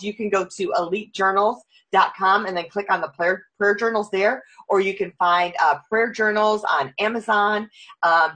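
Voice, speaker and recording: A woman's voice: mid-range voice, a bit bright. A woman hosting a talk show, gushing and enthusiastic as she promotes products and introduces a guest. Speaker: host.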